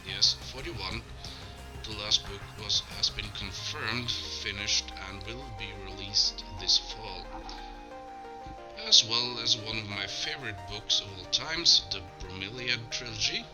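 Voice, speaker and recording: A Norwegian man, Norwegian his first language, giving a slow, measured, solemn spoken tribute with small self-corrections. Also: fairly low pitch. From Norway. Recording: clear music bed behind the voice.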